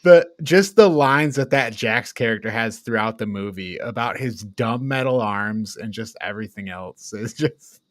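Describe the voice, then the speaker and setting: A man's voice: lowish voice, lively intonation, a little slow, dry recording, clean studio sound. A man co-hosting a review show, chatting and reacting informally with a note of exasperated banter. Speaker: co-host of a film review show. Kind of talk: casual chat, reacting and commenting.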